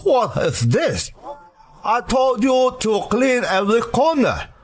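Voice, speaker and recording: A harsh old boss in a radio drama. His voice is high and swings widely in pitch. He is imperious, demanding and contemptuous as he scolds. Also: wildly swooping pitch, slow, heavily acted. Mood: stern, threatening.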